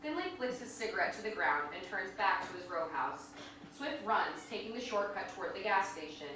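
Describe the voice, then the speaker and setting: A woman's voice: mid-range voice, lively intonation, brisk. A woman reading scripted stage directions as narrator of a live staged reading. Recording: distant, very echoey room, faint noise.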